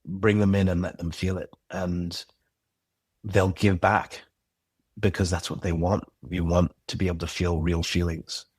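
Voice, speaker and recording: A man's voice: deep voice, flat delivery, measured pace, a little mellow, breathy edge, no echo. A man who creates and directs audio drama, speaking as a guest in a casual interview, earnest, reflective and passionate.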